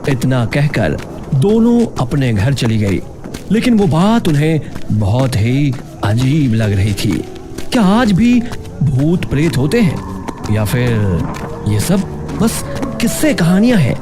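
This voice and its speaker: A man's voice: mid-range voice, very animated, slow pace. A man narrating a children's story in a dramatic, scripted storytelling style. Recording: music playing behind the voice. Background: clear background music.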